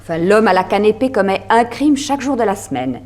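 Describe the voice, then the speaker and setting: A woman's voice: medium pitch, very animated, fairly quick, a little mellow, natural voice. An actress playing a detective's curious female partner in a vintage French radio drama, questioning insistently. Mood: puzzled, insistent.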